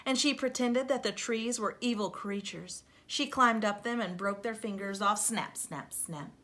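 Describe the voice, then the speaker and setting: A woman teacher reading a storybook aloud to young children, expressive and emphatic, speaking to them directly. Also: medium pitch, unhurried.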